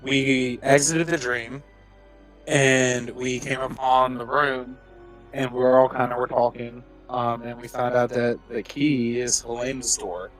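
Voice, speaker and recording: Young dude rambling through a game recap, ums everywhere, normal pitch. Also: male voice, little pitch movement, unhurried, fairly bright tone, soft background music, rough recording. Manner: rambling, filler-heavy. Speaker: player. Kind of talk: casual recap of the last session.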